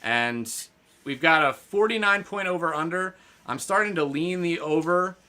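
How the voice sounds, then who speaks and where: A man's voice: fairly high pitch, normal speed, bright, forceful tone. A man co-hosting a casual sports betting show, talking loosely with lots of fillers and restarts. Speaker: podcast co-host.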